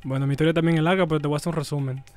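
A man with a Dominican Spanish accent, a guest telling his story in a lively, slangy way. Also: on the high side, racing. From Dominican Republic. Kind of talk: casual interview chat. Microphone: studio mic on a stand, headphones.

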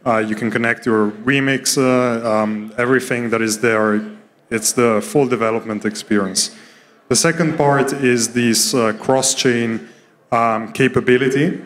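A man in his thirties giving a conference product presentation as a company co-founder, speaking in a somewhat halting, hesitant way into a handheld microphone. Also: medium pitch, steady tone, a bit bright. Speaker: startup co-founder giving a keynote. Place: indoor conference stage. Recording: reverberant hall through stage microphone.

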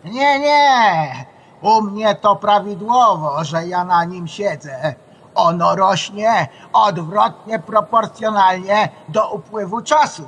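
Kindly ghost grandad in a kids audio drama, high voice, takes his time. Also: fairly bright tone, breathy edge, heavily acted. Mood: tender. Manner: tender, patient, unhurried. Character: affectionate old grandfather, a guardian spirit who has died. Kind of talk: children's radio play acting.

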